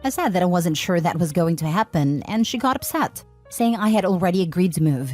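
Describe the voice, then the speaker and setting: A woman reading forum posts aloud as scripted narration, in an even, steady way. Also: on the low side, fairly quick. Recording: faint background music.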